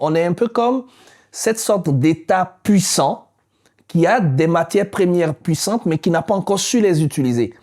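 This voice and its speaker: A male journalist with a Franco-Ontarian French accent, asking formal, measured interview questions with a few stammered restarts. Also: fairly high pitch, darkish tone. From Ontario, Canada.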